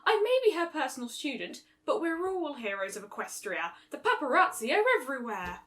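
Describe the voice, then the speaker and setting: A woman acts out a fussy, refined unicorn lady from a cartoon fan story. Her voice is high and bright, swooping theatrically with dramatic, affected elegance. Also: very animated, fairly quick. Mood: gently scolding.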